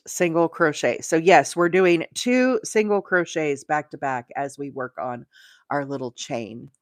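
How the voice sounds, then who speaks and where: A woman's voice: lowish voice, no echo, clean studio sound. A middle-aged woman hosting a casual craft livestream, chatty and thinking aloud as she talks to viewers. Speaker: livestream host and crochet demonstrator.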